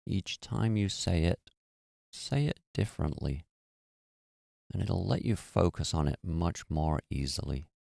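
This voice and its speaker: A man guiding a meditation in a slow, soothing, hushed way. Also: deep voice, animated, creaky, no echo, studio quality. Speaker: meditation guide. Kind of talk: guided meditation.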